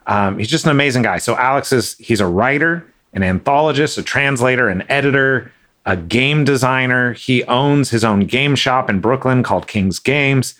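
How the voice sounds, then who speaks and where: A man hosting a casual interview podcast, his voice pitched in the ordinary middle range. He talks in a friendly, chatty way, full of fillers and small restarts as he introduces his guest and asks questions. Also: normal speed, a bit bright. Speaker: podcast host.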